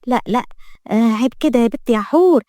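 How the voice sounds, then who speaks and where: A woman performs a young Egyptian maid for an audio story. She is high-pitched, anxious and flustered, forever muttering and pleading. The sound is close and dry. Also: lively intonation, fast talker, dark tone, no echo, lightly acted. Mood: awed. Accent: Egyptian Arabic.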